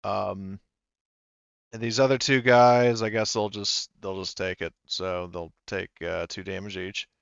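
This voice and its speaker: A man's voice: fairly low pitch, steady tone, a little slow, creaky, clear, resonant tone, no echo, dull audio. A man running a tabletop game as game master, talking casually and explaining rules, with some swearing.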